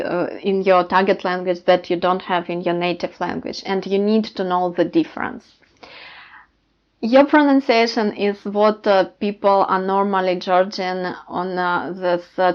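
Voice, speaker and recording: A woman with a Ukrainian accent, a language teacher talking casually to learners and pausing often with "uh" fillers. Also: medium pitch, muffled recording. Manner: many "uh" fillers, thoughtful.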